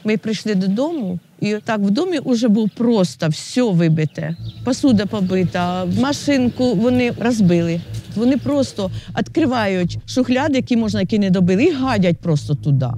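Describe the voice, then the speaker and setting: A woman's voice: mid-range voice, animated, moderate pace, warm tone, slight background noise. A woman with a Ukrainian accent, a villager giving an emotional interview about the occupation, close to tears. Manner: agitated, on the verge of tears.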